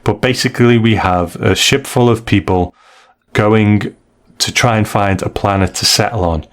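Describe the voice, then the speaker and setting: A man's voice: on the low side, steady tone, moderate pace. A man hosting a casual review discussion, retelling and explaining stories in a conversational, hedging way. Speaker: podcast host.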